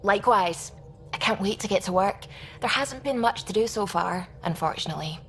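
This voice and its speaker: A woman's voice: mid-range voice, expressive, a little fast, a bit bright, faint music behind. A woman playing an eager, polite young science officer in a video game. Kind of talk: video game cutscene acting.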